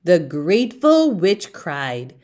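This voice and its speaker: Woman reading a kids book out loud, real slow n deliberate, very animated pitch. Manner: theatrical, deliberate phrasing for young listeners. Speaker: storyteller reading children's books aloud. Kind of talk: expressive children's read-aloud narration.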